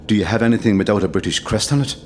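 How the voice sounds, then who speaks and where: An actor with an Irish accent playing a grave, tender condemned rebel commandant in a solemn audio drama. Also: male voice, fairly low pitch, rapid-fire, darkish tone, slight background noise, natural voice. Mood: firm, restrained.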